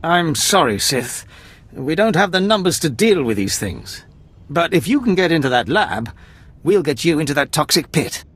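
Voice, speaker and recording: An Imperial major in a video game. He is affable and decisive, with a fairly high voice that moves freely up and down as he muses. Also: normal speed, slightly rough, full character voice. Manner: animated, musing. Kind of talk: video game cutscene acting.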